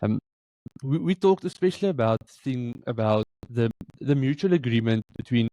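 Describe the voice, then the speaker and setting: A man with an Afrikaans South African accent, interviewing a guest with halting questions full of fillers. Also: mid-range voice, expressive, warm tone, dry recording, poor audio. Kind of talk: interview conversation.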